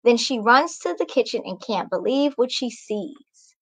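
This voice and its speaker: A woman's voice: fairly high pitch, lively intonation, unhurried, slightly rough, no echo. A young woman, a children's author, reading and speaking to children in an encouraging way. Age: young adult. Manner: encouraging, addresses children directly. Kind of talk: storybook reading and talk to children. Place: small indoor room at home. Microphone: video call microphone.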